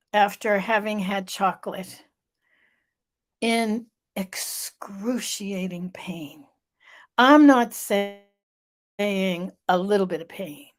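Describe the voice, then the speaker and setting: A middle-aged woman hosting a live vlog, telling a personal story with emphatic repetition, her voice stuffy and a little off. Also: medium pitch. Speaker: vlog host.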